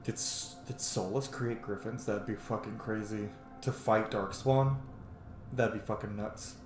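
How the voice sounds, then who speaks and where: A man doing casual let's play commentary on a video game, chatty and excitable, with plenty of swearing. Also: on the low side, a little slow. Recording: echoey room with game music behind.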